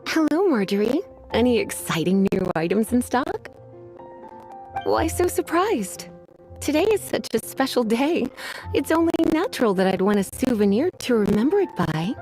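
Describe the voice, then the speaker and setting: A woman voicing a languid, teasing young librarian with a coy, sighing manner and a quiet menace, in an anime game. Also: on the high side, very animated, moderate pace, faint music behind, rough recording.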